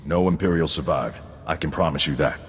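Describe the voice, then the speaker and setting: A man's voice: very deep voice, brisk, a little hiss or hum. An actor playing a stoic, dutiful male veteran sergeant in a video game, speaking in a clipped, formal military way. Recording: reverberant hall, music behind.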